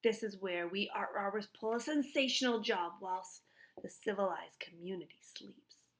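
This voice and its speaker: A woman's voice: medium pitch, moderate pace, close to an everyday voice. A woman voicing a pompous, conspiratorial male art thief, a villain in a storybook. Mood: gloating.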